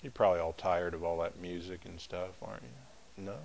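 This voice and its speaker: A man's voice: sits low, creaky, a little mellow. A man leading a Bible study as pastor, chatting in a folksy, easygoing way between readings. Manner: folksy, chatty asides with light laughs; measured, emphatic scripture reading.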